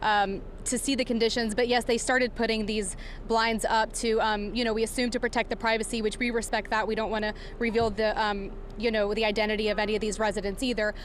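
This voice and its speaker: Higher-voiced woman reporting live outdoors. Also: little pitch movement. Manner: halting, improvised, explanatory delivery. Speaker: field news reporter. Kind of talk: live breaking news report. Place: outdoors outside a warehouse. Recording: clear background noise outdoors.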